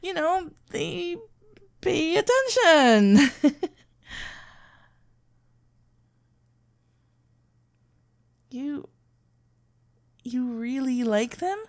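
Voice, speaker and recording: A woman's voice: sits high, expressive, very slow, vocal fry, full character voice. A woman playing a sweet, flustered florist best friend in an ASMR roleplay, playful and awkwardly hesitant. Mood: flustered, tender. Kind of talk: ASMR roleplay acting, one-sided dialogue.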